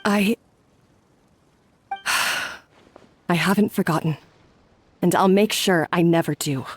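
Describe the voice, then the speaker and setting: An actress playing an insecure young head chef who talks in a subdued, hesitant way in a game cutscene. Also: female voice, lowish voice, slow pace, breathy edge. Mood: downcast. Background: faint game music.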